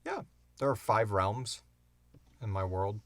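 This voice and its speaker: Male podcast co-host, low-pitched, close dry sound, informal and halting explainer in a casual Q&A. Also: expressive, dry recording. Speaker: podcast co-host and show creator. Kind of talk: casual Q&A chat.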